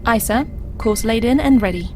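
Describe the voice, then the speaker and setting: A crisp, obedient starship helm lieutenant played by a woman, with a fairly high voice. It is science fiction audio drama, with clear music behind. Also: moderate pace, lightly acted. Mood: crisp, efficient.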